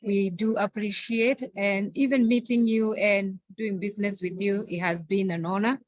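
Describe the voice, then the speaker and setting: A woman's voice: medium pitch, fairly even intonation, moderate pace, no echo. A woman from Kenya, speaking as a webinar presenter in a grateful, slightly hesitant way. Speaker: financial professional, webinar presenter.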